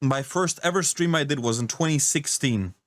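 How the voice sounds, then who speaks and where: A man's voice: fairly high pitch, normal speed, fairly bright tone, no room sound. A man doing casual reaction commentary, incredulous and rambling, swearing often. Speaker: reaction streamer.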